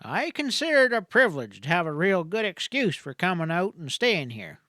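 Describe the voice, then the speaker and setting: Man voicing a wry, high-pitched frontier doctor. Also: very animated, moderate pace, sharp tone, no echo, studio quality, heavily acted. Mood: wry, exasperated. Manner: folksy, drawling, eloquent. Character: wry, cynical frontier doctor, male, talkative and gallant. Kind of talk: Western audiobook character voice.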